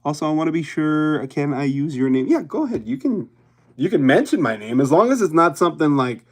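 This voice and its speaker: A man's voice: fairly high pitch, very animated, fairly quick. A young man from Hawaii hosting a casual livestream, chatting with his viewers in a rambling way and laughing often. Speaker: YouTube livestream host.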